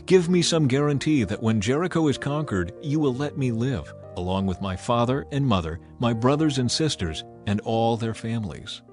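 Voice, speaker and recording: A man's voice: medium pitch, lively intonation, normal speed, few pauses, a little mellow, soft background music, natural voice. A man voicing an earnest, pleading woman of ancient Jericho in a declamatory scripture dramatization. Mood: fearful, pleading.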